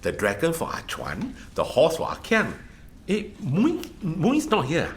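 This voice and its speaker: A man's voice: a little high, wildly swooping pitch, measured pace, exaggerated voice. A man acting a good-natured, humble Singaporean coffee shop worker in a radio play, talking hesitantly. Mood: cheerful. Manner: hesitant, chuckling, stammering. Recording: roomy sound with faint noise.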